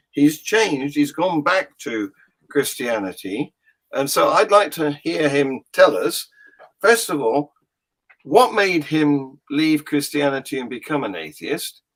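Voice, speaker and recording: A man hosting a casual conversation, introducing his guest in a lively way. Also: on the high side, low-quality recording. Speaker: host. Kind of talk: casual video-call conversation.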